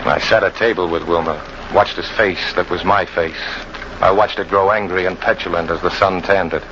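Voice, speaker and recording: A low-voiced, bitter hunting guide narrates in tough, colloquial radio-drama style. He broods like a hardened fugitive. The old recording is muffled and very noisy. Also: steady tone, normal speed. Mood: brooding, bitter. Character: bitter hard-boiled jungle hunting guide, fugitive, confessing his hatred.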